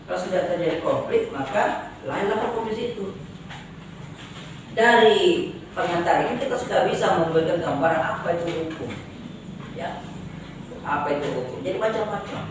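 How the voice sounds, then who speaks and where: A man's voice: a little high, recorded from a distance. A male law lecturer with a mixed Makassar and Javanese Indonesian accent, teasing his students and tagging his sentences with "ya". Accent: Indonesian, mix of Makassar and Javanese. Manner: teasing students, frequent "ya" tags. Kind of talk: joking classroom lecture. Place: university lecture room. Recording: echoey classroom from afar, noisy.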